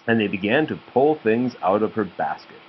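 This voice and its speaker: A man's voice: on the low side, expressive, normal speed, breathy edge. A man reading a children's story aloud as a father does, patiently stopping to explain words and answer questions. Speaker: father reading a picture book to his children. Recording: dull home recording with faint noise.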